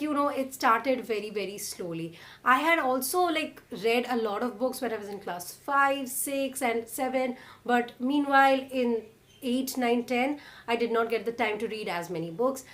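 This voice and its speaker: Young woman, Indian accent, slightly high voice, casual storytime vlog, chatty, filler words. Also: a bit bright. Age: young adult. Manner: chatty, frequent "like" and "you know" fillers. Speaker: vlogger.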